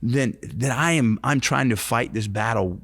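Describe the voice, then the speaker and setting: A man's voice: mid-range voice, unhurried, fairly bright tone, husky, dry recording, studio quality. A man in his late fifties co-hosting a faith-based recovery podcast, talking earnestly and circling back over his phrases. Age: 50s. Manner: earnest, repetitive, restarts phrases. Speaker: podcast co-host, recovery ministry.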